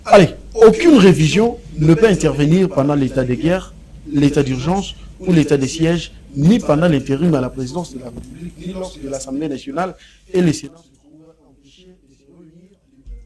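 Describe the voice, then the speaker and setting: A man with a slightly high voice speaks emphatically in a political debate. He sounds declarative, as if addressing viewers, with other voices audible behind him. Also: fairly quick, long pauses, crowd noise, low-quality recording. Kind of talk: political debate, reading aloud.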